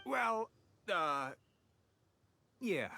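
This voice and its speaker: A man's voice: high-pitched, sing-song, drawn-out words, creaky, bright, forceful tone, a little hiss or hum, full character voice. A man playing a jittery bandit henchman in a video game cutscene. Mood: sheepish, rattled.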